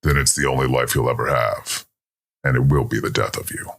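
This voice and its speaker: A man voicing a weary, gruff, fatherly older outlaw in an audio story, with a gravelly voice. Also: rumbling low, steady tone, a little fast, a bit bright, voice pushed down, heavily acted. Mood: grim warning. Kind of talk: scripted audio story dialogue.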